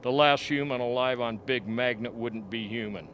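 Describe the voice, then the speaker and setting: A man acting a grim, frank scientist in an amateur radio play, explaining things deliberately. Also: mid-range voice, fairly bright tone, a little hiss or hum, natural voice. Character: grim, frank male scientist doctor at a polar outpost.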